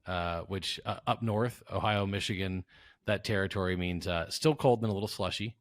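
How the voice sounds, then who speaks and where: A man's voice: sits low, moderate pace. An American man hosting a podcast, chatting casually and jokingly as he interviews a guest. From South Louisiana, United States. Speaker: podcast host.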